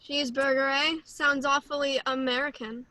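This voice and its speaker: A woman's voice: sits high, little pitch movement, normal speed, pushed and bright. A woman playing a bitter, defiant captured spy in an amateur musical script reading, accusing in manner. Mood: distrustful.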